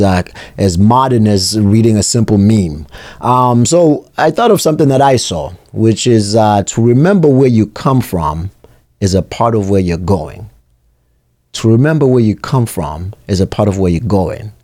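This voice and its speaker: South african-born guy doing an inspirational radio bit, warm low-key voice, thoughtful and earnest. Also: expressive, no echo, studio quality. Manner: reflective, earnest, measured. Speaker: radio segment host. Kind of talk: motivational radio talk. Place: radio studio.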